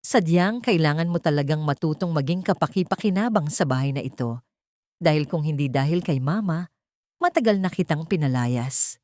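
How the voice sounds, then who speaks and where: A woman's voice: low-pitched, expressive, moderate pace, darkish tone, dry recording, clean studio sound. A woman voicing a contemptuous husband who sneers at and belittles his wife in an audio drama. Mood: sneering, threatening.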